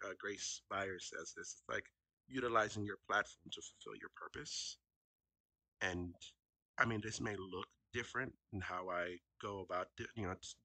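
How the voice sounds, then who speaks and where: A man whose first language is Haitian Creole speaks in a low voice with a very bright, forceful edge. He tells his story as a podcast guest, reflective and casual, and laughs easily. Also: slightly rough, no room sound, low-quality recording. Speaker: podcast guest, actor and educator. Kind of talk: casual interview conversation.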